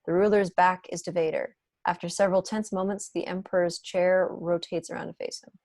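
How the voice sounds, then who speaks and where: A woman narrates a script's stage directions. The voice sits fairly low, and the sound is dry and close, as on a video call. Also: flat delivery, brisk, gravelly, darkish tone, no room sound.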